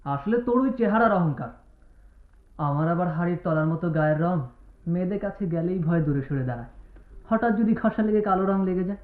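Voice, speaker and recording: Young comic sidekick, high pitch, mocking banter, radio drama, tinny sound. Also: male voice, animated, brisk. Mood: playful. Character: joking, self-mocking young male student sidekick. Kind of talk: Bengali radio drama acting. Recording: tinny, roomy lo-fi radio play.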